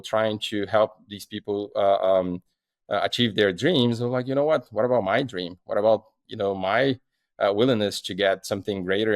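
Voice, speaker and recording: A man's voice: fairly low pitch, dry recording. A man with a Brazilian accent, a guest founder talking in a casual podcast interview, rambling with lots of fillers and restarts.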